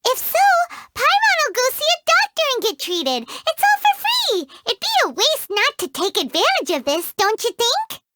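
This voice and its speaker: A woman's voice: very high-pitched, wildly swooping pitch, moderate pace, hardly any pauses, very noisy, full character voice. A woman voicing a tiny, greedy, chatty fairy companion who speaks of herself in the third person, in studio game voice acting. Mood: chirpy. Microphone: studio mic.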